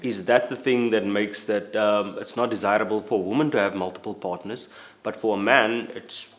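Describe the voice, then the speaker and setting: A man from South Africa, a photographer and activist, asking measured, articulate questions in a casual panel discussion. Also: lowish voice, fairly even intonation.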